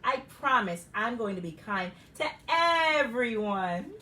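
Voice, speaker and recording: A woman's voice: on the high side, very animated, slow, sharp tone, far from the mic, heavily acted. A woman voicing a cheerful, polite little girl princess in a storybook reading, sweet and wide-eyed. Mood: eager.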